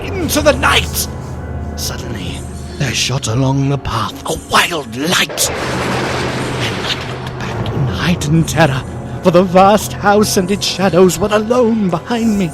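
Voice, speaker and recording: A slow, high-voiced, horrified gothic narrator. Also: male voice, heavily acted. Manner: dramatic, dread-filled storytelling. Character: horrified gentleman narrator, friend of a gothic madman. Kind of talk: gothic radio drama narration.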